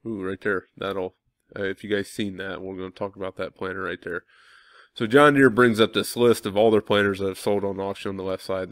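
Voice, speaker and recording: A man's voice: fairly low pitch, clear, resonant tone, no room sound, studio quality. A young man with a cold and a stuffy nose, casually walking viewers through his thinking as a farmer vlogger, with lots of ums and self-corrections. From Midwestern United States. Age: young adult. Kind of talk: casual walkthrough talk to viewers.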